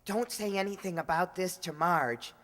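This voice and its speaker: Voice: medium pitch, normal speed, fairly bright tone, long reverb tail, close to an everyday voice. A performer playing an eager, slangy schoolboy nephew in old-time radio comedy style, boyish and casual. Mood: grateful, breezy.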